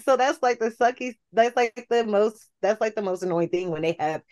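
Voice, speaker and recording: A woman's voice: fairly high pitch, moderate pace, a little mellow. An American woman in casual chat as a podcast guest, telling stories and acting out reactions.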